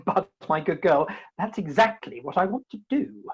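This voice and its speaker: A woman with a husky voice playing a proud, earnest young gentleman in a comic radio play. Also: on the low side, big pitch swings, moderate pace. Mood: eager. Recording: slightly dull video call audio.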